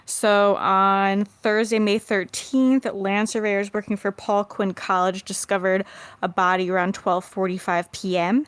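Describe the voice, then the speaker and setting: A woman's voice: mid-range voice, flat delivery, measured pace, clear, resonant tone, no echo. A woman co-hosting a podcast, chatting casually and reading through case notes aloud. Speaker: podcast co-host.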